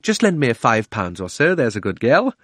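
A man voicing a shifty, cowardly older gentleman in a storybook, wheedling and stammering. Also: mid-range voice, huge pitch swings, a little fast, no room sound, studio quality.

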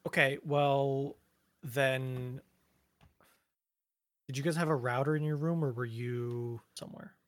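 A man's voice: medium pitch, steady tone, takes it slowly, long pauses, dry recording. A man co-hosting a podcast, chatting casually, rambling and trailing off mid-sentence. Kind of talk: casual chat. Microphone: studio mic.

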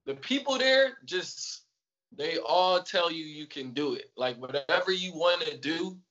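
A man with a Southern accent talks about music as a podcast guest. He is laid-back and easygoing, and his voice is bright, forceful and a bit high. The audio is dull and muffled. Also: animated, unhurried. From Atlanta. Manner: laid-back, repeats yes often. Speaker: rapper guest. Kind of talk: casual interview chat.

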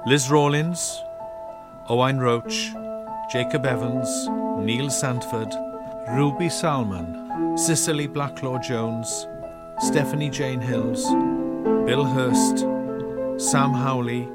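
A man's voice: medium pitch, takes it slowly. A man reading scripted closing credits as a radio announcer. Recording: loud piano music under voice. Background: piano music.

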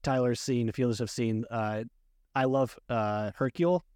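Male co-host, mid-range voice, dry recording, informal halting pop-culture chat. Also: medium pitch, steady tone, a little mellow, clean tone, no room sound. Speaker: podcast co-host. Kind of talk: casual movie and game discussion.

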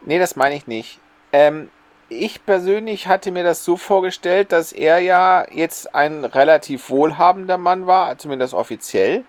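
A man's voice: high voice, a little slow, no echo. A man chatting casually as a podcast host and debating story details. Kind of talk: casual discussion of an audio drama episode.

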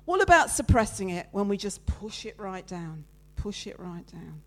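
A woman giving a lively, loud, chatty sermon with slides, talking with her congregation. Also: medium pitch, normal speed. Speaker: preacher giving a talk at church. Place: church meeting room with listeners present.

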